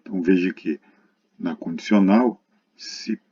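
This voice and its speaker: Brazilian man, deep husky voice, lecturer explaining step by step, speaking directly to students, muffled lo-fi sound. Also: a little slow, mellow. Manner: didactic, addresses students directly. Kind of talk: recorded math lesson, explanatory. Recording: dull lo-fi muffled recording.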